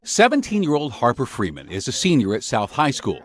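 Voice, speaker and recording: Middle-aged man, American accent, TV news reporter, animated intonation, mid-pitched voice, scripted narration. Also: fairly quick. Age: 50s.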